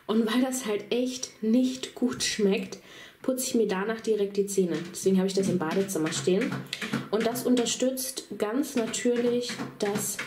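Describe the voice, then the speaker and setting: A woman's voice: medium pitch, noisy background. A chatty woman in her thirties, a little under the weather, talks straight to her viewers. She walks through her home with a handheld phone camera, keeping an easy, enthusiastic tone. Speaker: vlogger. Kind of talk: casual vlog walkthrough. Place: home, indoors.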